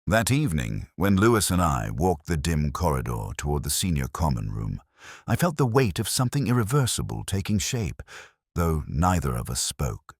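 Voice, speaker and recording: A man narrating a scripted first-person detective story in a solemn, measured, literary manner. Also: rumbling low, big pitch swings, husky, no room sound, studio quality. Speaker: audio drama narrator.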